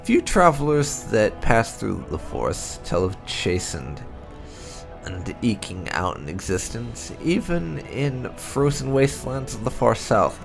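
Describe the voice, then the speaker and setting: A man reading fantasy game lore aloud as scripted narration, in a measured, storybook-like delivery. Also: medium pitch, big pitch swings, creaky, music in the background.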